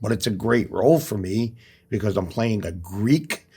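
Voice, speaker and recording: A middle-aged man with a gravelly, rough-edged voice and a breathy, husky tone. He talks in an easygoing, conversational way and shares his view of life with earnest conviction. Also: fairly low pitch, expressive, moderate pace, dark tone. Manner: earnest, speaks in life lessons. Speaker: actor guest. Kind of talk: casual podcast chat.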